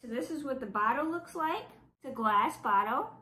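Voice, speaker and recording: A woman's voice: sits high, lively intonation, moderate pace, sharp tone, echoey room. A woman from South Louisiana with a stuffy, congested voice, giving a casual makeup review with a wry, skeptical manner. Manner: wry, skeptical, reading product claims aloud. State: stuffy from flu. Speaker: beauty vlogger.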